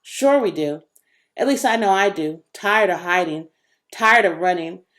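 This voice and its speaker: A woman's voice: fairly low pitch, expressive, measured pace, fairly bright tone. A woman voicing a laid-back, folksy, wisecracking boy in a children's storybook reading. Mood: weary, soft.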